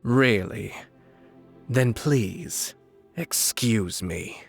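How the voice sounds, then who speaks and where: An actor playing a courteous, quietly authoritative young noble commissioner, speaking in a formal, measured way in a video game. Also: male voice, on the low side, lively intonation, creaky, slightly rough, faint music behind, exaggerated voice. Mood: cool, firm.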